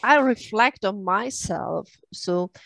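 A middle-aged woman hosting a casual interview in halting, English. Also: mid-range voice, animated, vocal fry, fairly bright tone, dry recording. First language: German. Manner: halting phrasing in non-native English. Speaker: host interviewing a guest.

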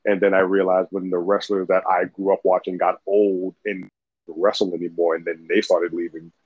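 A man's voice: sits low, level tone, normal speed, breathy edge, no room sound. A man co-hosting a podcast in casual chat, rambling and swearing freely as he talks. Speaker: podcast co-host. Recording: dull lo-fi dry recording.

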